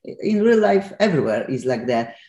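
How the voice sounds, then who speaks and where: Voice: medium pitch, big pitch swings, normal speed, warm tone, reverberant. A talk radio host who interviews a guest and asks long, rambling questions. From Poland.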